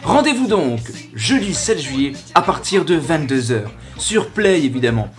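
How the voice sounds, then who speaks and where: A man's voice: fairly high pitch, sing-song, moderate pace. A man hosting a web radio show, delivering an upbeat, emphatic, chatty scripted promo. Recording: music behind the voice. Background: music.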